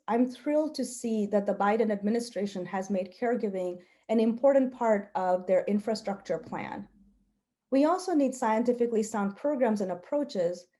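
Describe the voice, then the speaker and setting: Woman, native Gujarati speaker, health researcher at the podium. Relaxed, warm timbre, earnest delivery with deliberate emphasis. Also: medium pitch. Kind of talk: prepared talk with personal storytelling.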